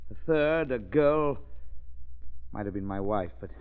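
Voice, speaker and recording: An actor playing a resentful, haughty millionaire with a brooding, clipped, imperious manner in a 1930s radio drama. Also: male voice, fairly low pitch, lively intonation, a little slow, smooth. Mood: bitter, resentful. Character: resentful, haughty millionaire plotting revenge on his guests. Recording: dull, muffled 1930s radio broadcast.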